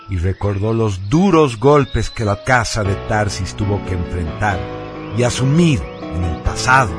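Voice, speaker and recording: A man narrates a radio drama with theatrical solemnity. He takes his time, swinging widely in pitch over a bed of music.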